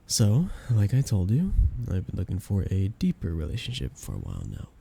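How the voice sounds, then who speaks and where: A man's voice: on the low side, huge pitch swings, fairly quick, gravelly, mellow, slightly rough, full character voice. A man playing a shy young guy confessing love to a best friend in an intimate ASMR romance roleplay, tender and hesitant. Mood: nervous, hesitant.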